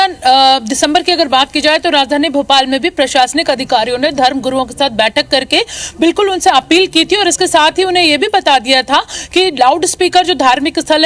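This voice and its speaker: Woman reporter doing a live news update, high voice, long wordy explanations. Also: fairly bright tone. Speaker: news correspondent.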